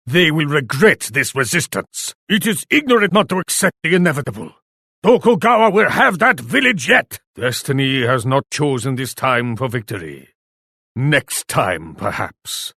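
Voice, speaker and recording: An actor playing a proud, ruthless Japanese warlord in a video game, speaking in an imperious, formal manner. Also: male voice, medium pitch, a bit bright, dry recording, studio quality. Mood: stern warning. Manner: imperious, formal, speaks of himself in third person. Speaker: video game faction leader. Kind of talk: scripted video game voice lines.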